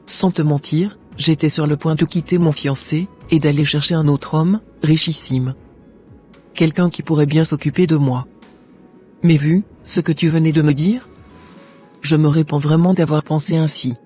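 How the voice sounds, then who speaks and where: Man voicing a husky young woman. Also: on the high side, steady tone, normal speed, darkish tone, faint music behind. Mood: grateful. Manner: earnest, plaintive, then grateful and pious.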